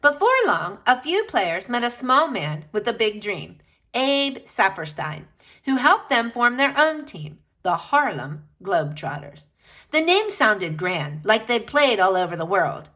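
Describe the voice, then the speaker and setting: A woman reading a children's picture book aloud expressively, with emphatic, dramatic phrasing and drawn-out key words. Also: mid-range voice, very animated, pushed and bright.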